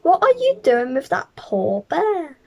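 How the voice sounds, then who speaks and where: A woman's voice: very high-pitched, huge pitch swings, unhurried, gravelly, muffled recording, pitched above the performer's own voice, heavily acted. A woman voicing a kindly, decisive wife and mother who exclaims her lines in a children's storybook. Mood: scolding.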